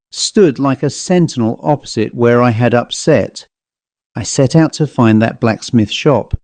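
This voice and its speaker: A man reading a ghost story as an audiobook narrator, in a measured, dramatic storytelling manner. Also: medium pitch, mellow, no echo.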